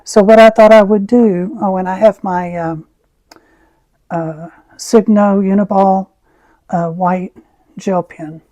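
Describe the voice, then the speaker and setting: A woman with a raspy voice, who often clears her throat as she chats through an art tutorial. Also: medium pitch, steady tone, no room sound. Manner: slow, soft-spoken, frequent throat clearing. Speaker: art channel host. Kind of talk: casual art tutorial chat.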